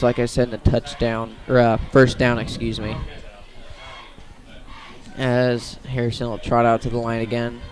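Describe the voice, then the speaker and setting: An American man doing live radio football play-by-play, stopping now and then to correct himself. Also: mid-range voice. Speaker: play-by-play commentator.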